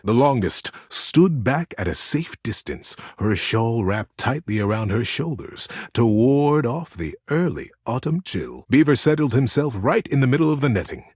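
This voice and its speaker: A man playing a gentle, soothing teddy bear who narrates bedtime stories expressively in a game. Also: mid-range voice, slightly rough, no echo, clean studio sound.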